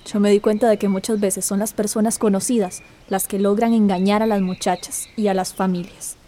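A woman's voice: mid-range voice, flat delivery, brisk, warm tone, lightly acted. An actress playing a sincere, hopeful young woman in a radio drama. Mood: somber, reflective. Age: young adult. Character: young trafficking survivor, hopeful and sincere daughter.

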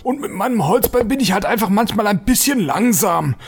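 An actor playing a fussy, grumpy janitor in a comic audio drama, husky and blustering. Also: male voice, high voice, lively intonation, a little fast, heavily acted. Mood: defensive, flustered. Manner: indignant, blustering, scolding. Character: grumpy, fussy building janitor with a wooden leg.

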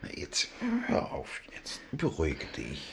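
An actor playing a worldly, cynical gentleman seducer who narrates his own affair in a radio drama, moving theatrically between dry telling and urgent speech. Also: very deep voice, wildly swooping pitch, measured pace, creaky, in a whisper, heavily acted. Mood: hushed, firm. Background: music.

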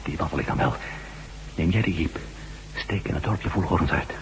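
An actor playing an inquisitive, self-assured reporter in a radio drama, brisk and questioning with a sardonic edge. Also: male voice, extremely low pitch, a little hiss or hum, low-quality recording. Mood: brisk, confident. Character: inquisitive male ex-astronaut reporter, self-assured, bold, wry. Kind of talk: Dutch radio drama acting.

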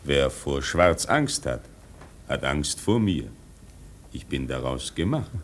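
An actor in a radio drama playing a proud, menacing sailor who speaks in a challenging, declamatory way. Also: male voice, extremely low pitch, sing-song, takes it slowly, a little hiss or hum, heavily acted. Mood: menacing pride. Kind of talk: German radio drama acting.

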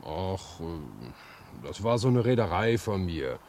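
An actor playing a good-natured, simple railway worker in a 1950s radio drama, folksy and a little hesitant. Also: male voice, deep voice, big pitch swings, slow, a little mellow, exaggerated voice. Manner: folksy, hesitant, chuckling asides. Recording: noisy old 1950s radio recording.

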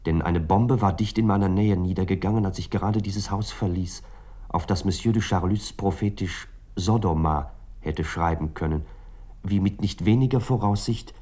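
An actor playing a reflective writer recounting a night of war in a literary radio drama, articulate and brooding. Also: male voice, deep voice, mellow, breathy edge.

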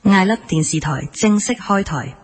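A woman's voice: mid-range voice, expressive, normal speed, breathy edge. A woman with a Hong Kong Cantonese accent reading scripted promotional announcements as a station announcer. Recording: loud music under the announcement. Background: prominent music.

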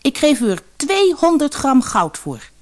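A woman's voice: high-pitched, very animated, measured pace, a little mellow, voice pushed up. A woman voicing an eager, kind-hearted young boy in a storybook tale, earnest and direct. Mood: determined. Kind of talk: storybook narration character.

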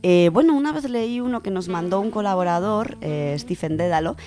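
Expressive Spanish woman storyteller, lowish voice. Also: brisk. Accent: Spanish from Spain. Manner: expressive, dramatic when reading. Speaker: radio storytelling contributor. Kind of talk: children's book reviews and expressive story reading. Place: radio studio.

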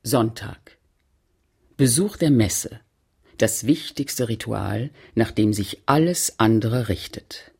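A narrator in a radio play, reflectively recalling a postwar provincial childhood in measured, deliberate, literary recitation. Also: mid-range voice, vocal fry, darkish tone, breathy edge, exaggerated voice.

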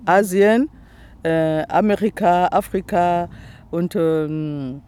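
A woman from the Republic of the Congo with a Congolese accent, whose first language is Teke. She speaks German as an interviewee, searching for words and filling pauses often. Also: lowish voice, mellow, clear, resonant tone. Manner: halting non-native German, searching for words with many fillers. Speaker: artist, interviewee. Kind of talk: casual interview chat. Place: outdoors in the grass by a lake.

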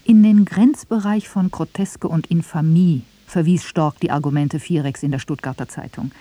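A radio feature narrator reading a scripted debate, measured, questioning and objecting. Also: medium pitch, warm tone, no echo.

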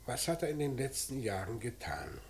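An actor playing a probing, businesslike police inspector in a mid-century radio crime drama, asking short, clipped questions. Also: male voice, medium pitch, very animated, normal speed. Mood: quiet, probing. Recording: noisy old radio drama recording.

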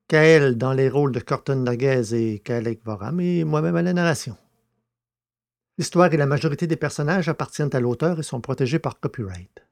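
A man's voice: medium pitch, darkish tone. A man reading scripted narration as the narrator and presenter of an audio drama podcast. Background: music.

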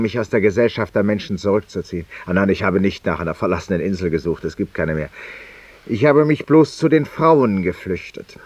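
A muffled, roomy 1950s radio recording carries a cynical male former lawyer. His low voice confesses with theatrical irony to a listener, husky and intimate, sinking at times toward a near whisper. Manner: theatrical, ironic, confiding, dropping to near whispers. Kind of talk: 1950s German radio drama monologue.

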